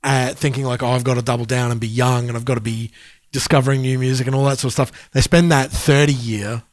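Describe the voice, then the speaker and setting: A man in his forties co-hosting a podcast, chatting easily and backing his partner up with quick yeahs. The sound is dry and close-miked with no room echo. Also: mid-range voice, level tone. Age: 40s. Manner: agreeable, chatty, quick affirmations, laughs easily. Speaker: podcast co-host. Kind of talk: casual chat.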